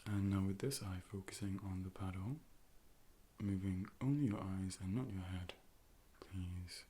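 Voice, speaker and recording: Man acting as a low, soft-spoken robot optician. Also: steady tone, breathy edge. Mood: calm, clinical. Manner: measured, formal step-by-step instructions, gently clinical. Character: calm, polite robot A.I. optician, clinical and reassuring. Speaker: ASMR performer playing an eye doctor. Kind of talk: soft-spoken ASMR medical role play, partly whispered. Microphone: close binaural microphone.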